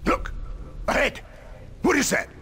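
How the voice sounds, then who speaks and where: An actor playing a stern, seasoned soldier in a war game, with a gravelly voice and a forceful manner. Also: male voice, unhurried, a little hiss or hum. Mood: alarmed.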